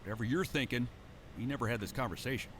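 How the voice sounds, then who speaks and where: An actor playing an uneasy, superstitious sea truck driver in a video game, talking in a colloquial, ominous way. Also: male voice, medium pitch, quick pace, a bit bright, slight background noise, lightly acted. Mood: wary. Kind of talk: acted recorded dialogue in a video game.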